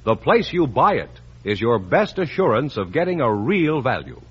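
A man's voice: medium pitch, sing-song, moderate pace, breathy edge. A middle-aged man announcing a quiz show and reading a sponsor ad in a polished, emphatic style. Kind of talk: scripted announcing and ad read. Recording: dull old radio broadcast.

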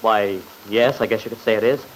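A man's voice: on the low side, steady tone, a little fast, lightly acted. Man acting earnest young witness, old noisy radio. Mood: earnest, respectful. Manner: earnest, respectful, slightly hesitant. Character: earnest, polite young father on witness stand. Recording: noisy vintage radio recording.

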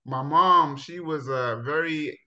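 A middle-aged man from Jamaica, a high school football coach, telling his life story in a relaxed interview with laughs and restarts. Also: slow. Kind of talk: casual interview. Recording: dull lo-fi sound.

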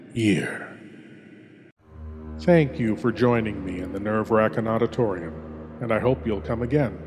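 Man as slow, brooding horror host. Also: on the low side, long reverb tail, a little hiss or hum. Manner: theatrical, solemn, savoring each word. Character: brooding, courtly male horror host lurking in shadows.

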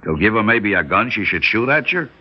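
An actor playing a calm, stubborn older shopkeeper who reasons in wry questions and Yiddish-inflected phrasing, in old-time radio style. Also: male voice, on the low side, brisk. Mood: shrewd, persuasive. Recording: muffled old radio recording.

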